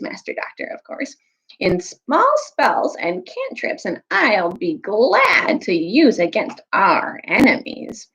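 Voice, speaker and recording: A woman's voice: fairly high pitch, vocal fry, fairly bright tone, slightly rough, voice pushed up, full character voice, rough recording. A woman voicing a fawning, spiteful old hag from a storybook, in a thin, whining, wheedling voice. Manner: wheedling, obsequious.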